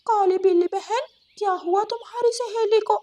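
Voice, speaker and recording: A woman's voice: very high voice, normal speed, mellow, voice pushed up. A woman voicing a wary, suspicious forest bird in a children's cartoon, questioning and challenging. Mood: doubtful. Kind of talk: Hindi children's cartoon story acting.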